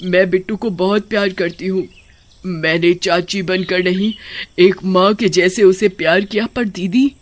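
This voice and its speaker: A woman voicing a vengeful but motherly ghost witch in an animated horror story, emphatic and full of feeling. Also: fairly low pitch, measured pace, natural voice. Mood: sorrowful, tender. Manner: accusing, emphatic, emotional. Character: vengeful, motherly female ghost witch, a wronged young aunt.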